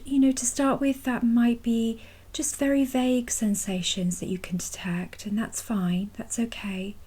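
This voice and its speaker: A woman guides a meditation in a soft, mellow voice with a breathy, husky edge. She speaks very slowly and gently, leaving long pauses between phrases. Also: mid-range voice. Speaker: mindfulness practice guide. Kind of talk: guided body scan meditation.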